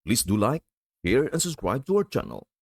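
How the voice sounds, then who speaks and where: A man's voice: medium pitch, big pitch swings, moderate pace, darkish tone, breathy edge, no room sound, clean studio sound. A man hosting a tech tutorial, giving polite, formal step-by-step voice-over instructions.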